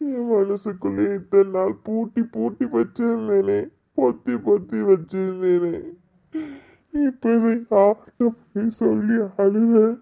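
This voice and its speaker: A man playing a heartbroken young man who pours out his grief slowly, his gravelly voice dragging over every word. He pleads and repeats himself with anguish, heard through a slightly dull, lo-fi recording. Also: high-pitched, fairly even intonation, warm tone. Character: heartbroken young man lamenting lost love. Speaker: heartbroken lover. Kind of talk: dramatic monologue.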